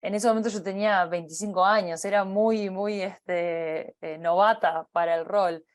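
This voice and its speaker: A woman in her thirties with a Uruguayan accent, giving a personal career talk, pausing often with fillers and restarting her phrases. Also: mid-range voice, fairly even intonation. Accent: Uruguayan Spanish. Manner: hesitant, many fillers and restarts. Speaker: company partner giving a talk.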